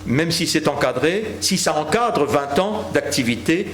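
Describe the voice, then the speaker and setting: A man giving a formal academic lecture with measured phrasing. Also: fairly high pitch, expressive, a bit bright. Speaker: historical speaker concluding a talk. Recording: echoey hall with background noise.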